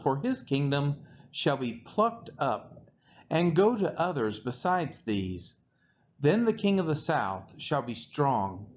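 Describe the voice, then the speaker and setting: Slow, expressive man reading scripture. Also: fairly high pitch. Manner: measured, deliberate phrasing. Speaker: scripture reader. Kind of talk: solemn read-aloud of scripture. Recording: dull lo-fi recording with faint noise.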